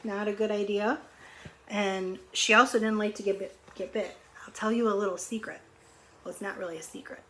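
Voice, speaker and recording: A woman's voice: mid-range voice, steady tone, fairly quick, bright, forceful tone. A middle-aged woman with a mid-range voice reads a storybook to young children. She breaks off often to explain things in simple words and to put questions to her listeners. There is some noise in the home recording. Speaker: teacher reading aloud to young children. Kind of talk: picture book read-aloud with conversational asides and questions. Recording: clearly noisy home recording.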